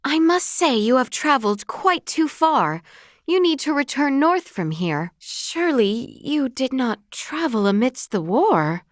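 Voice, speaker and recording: A young royal lady from a fantasy game, gracious and sincere. Her voice sits fairly high and moves with lively, sweeping intonation. She phrases everything with formal, courtly politeness, captured in a very dry recording. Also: unhurried, no room sound. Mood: courteous, concerned. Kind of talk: scripted video game voice acting.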